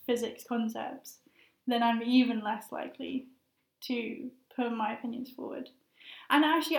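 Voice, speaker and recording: A young woman in her twenties with a high, fairly flat voice and a gravelly edge. She talks in a hesitant, thoughtful way and stops often to search for words. The recording has a roomy echo. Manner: hesitant, thoughtful, many fillers. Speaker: guest, master's student. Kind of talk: casual conversational interview.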